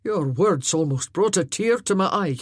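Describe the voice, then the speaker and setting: A woman voicing a mocking Highland jester-warrior. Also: animated, moderate pace, husky, no room sound, clean studio sound. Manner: mock-sentimental, teasing.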